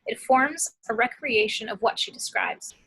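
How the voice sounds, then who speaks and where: Bright female script narrator. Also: a little high, moderate pace, poor audio. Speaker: narrator of action descriptions. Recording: clear background noise, home recording.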